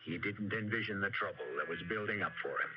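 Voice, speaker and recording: A man's voice: lowish voice, quick pace. A man hosting a radio drama with ominous, theatrical scripted narration. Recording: dull vintage radio recording, music underneath.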